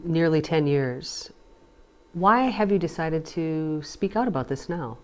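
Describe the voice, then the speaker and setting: Female interviewer with a notably low voice, putting questions carefully and deliberately. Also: animated.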